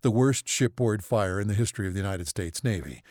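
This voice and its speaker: A man voicing a gruff, combative family physician with a blunt, authoritative manner, in an audiobook. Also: fairly low pitch, a little fast, darkish tone, dry recording, studio quality, natural voice. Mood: confrontational. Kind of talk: audiobook character acting.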